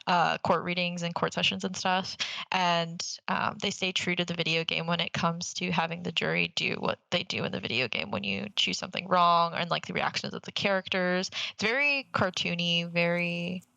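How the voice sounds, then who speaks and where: Young female co-host, casual chat. Crisp forward tone, very dry close sound, enthusiastic and informal. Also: fairly low pitch, moderate pace, bright, forceful tone, no echo, low-quality recording. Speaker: podcast co-host. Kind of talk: casual chat about games and films.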